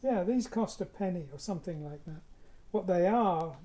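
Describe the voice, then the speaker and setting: A man, a little hoarse today, explaining his painting as he works in a casual live demo, with soft, dry, understated replies. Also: high-pitched, lively intonation, normal speed. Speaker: artist demonstrating painting.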